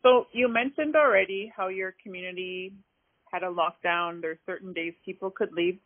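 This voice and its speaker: A woman's voice: fairly low pitch, moderate pace, dry recording. A woman interviewing someone by phone, friendly and informal with a lot of fillers. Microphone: telephone. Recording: tinny phone line.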